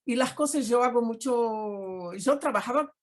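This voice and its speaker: A woman's voice: a little high, measured pace. An older Brazilian woman speaking Spanish with a Portuguese accent, chatting expressively over a video call and dotting her talk with tag questions. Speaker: guest artist. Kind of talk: casual interview chat.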